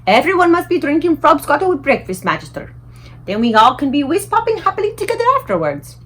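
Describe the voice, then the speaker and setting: A woman voicing an excitable, childlike storybook giant who exclaims in muddled grammar and invented words. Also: sits high, quick pace, pitched above the performer's own voice. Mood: disgusted, insistent.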